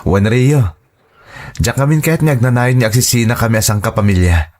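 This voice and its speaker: A man's voice: mid-range voice, lively intonation, fairly quick, darkish tone, lightly acted. A man with an Ilocano Filipino accent playing an earnest married office worker and devoted father in a drama. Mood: earnest, wistful. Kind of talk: Ilocano radio-style drama acting.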